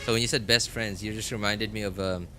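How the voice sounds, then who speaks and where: A man chatting casually as a game livestreamer, rambling and halting as he thinks aloud. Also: on the low side, fairly even intonation, sharp tone. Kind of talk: casual chat to viewers while gaming. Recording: music playing behind the voice. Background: background music.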